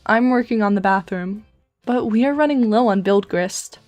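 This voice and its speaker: Woman doing a wry deadpan teen girl, wordy and composed, webcomic dub, music behind. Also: mid-range voice, normal speed, lightly acted. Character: dry, verbose, composed teenage girl in a webcomic. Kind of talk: fan comic dub acting.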